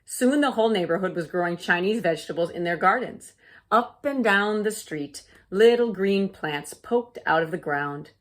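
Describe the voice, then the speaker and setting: A woman teacher reading a children's storybook aloud with deliberate, expressive phrasing for young listeners. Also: mid-range voice.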